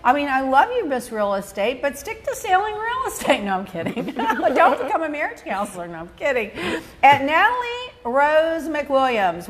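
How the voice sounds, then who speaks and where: A middle-aged woman hosting a podcast at a desk mic in her home studio, chatting animatedly, gossiping and laughing as she answers listener questions. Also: a little high. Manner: animated, gossipy, laughs often, acts out voices. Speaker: podcast host. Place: home studio office, indoors. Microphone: desk mic on stand.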